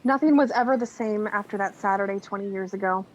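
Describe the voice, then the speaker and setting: A woman's voice: quick pace, natural voice. A woman playing a reflective thirty-nine-year-old looking back on her life in a scripted radio play. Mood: somber, reflective. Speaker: actor in a college radio play. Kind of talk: scripted radio drama narration. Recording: muffled with faint rumbling noise.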